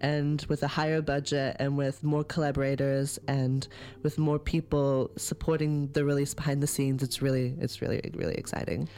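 A woman's voice: a bass voice, flat delivery, normal speed, few pauses, dark tone. Woman, musician guest, casual, giggly, filler-heavy, radio studio. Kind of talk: casual radio interview chat.

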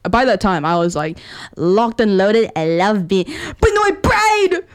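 Animated young New Zealand woman. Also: mid-range voice, slow pace, clear, resonant tone. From Wellington, New Zealand. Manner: animated, slangy, playful. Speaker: indie musician, interview guest. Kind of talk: casual chat.

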